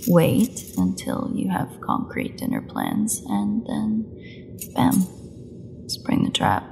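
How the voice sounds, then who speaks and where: A woman playing a starving, captive vampire in an audio roleplay, sardonic and teasing, often dropping into breathy half-whispers. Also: extremely low pitch, huge pitch swings, drawn-out words, music in the background, exaggerated voice. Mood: bitter, sarcastic. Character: starving captive female vampire, taunting, flirtatious, desperate. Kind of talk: scripted audio roleplay monologue.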